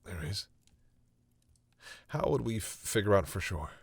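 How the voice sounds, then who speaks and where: A deep-voiced male vampire, acted by a man in an intimate audio roleplay. He is protective and devoted, yet stammers bashfully as he talks to the human he loves. The sound is very dry and close, with a slightly soft-edged, breathy delivery. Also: sing-song, a little slow, dry recording, exaggerated voice. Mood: flustered, disbelieving.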